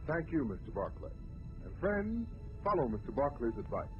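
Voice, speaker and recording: A man's voice: medium pitch, big pitch swings, normal speed, over music. A male radio announcer with an emphatic, salesman-like delivery in 1940s radio style. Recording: dull old radio broadcast.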